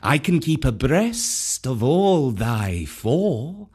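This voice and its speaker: A man voicing a huge male python, curt, slow drawl, distant odd timbre, storybook. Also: mid-range voice, sing-song, darkish tone, slightly rough, exaggerated voice.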